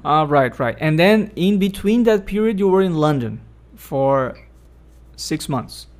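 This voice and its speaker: Man interviewing, slightly higher voice. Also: animated, unhurried. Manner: brief encouraging responses. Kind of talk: interview questions and backchannel.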